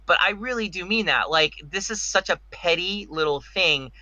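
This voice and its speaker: Male podcast host, very forceful and emphatic, opinionated panel talk, dry but slightly muffled recording. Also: sits high, animated, normal speed, no room sound. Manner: emphatic, rhetorical, repeats himself. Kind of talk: opinionated casual panel discussion.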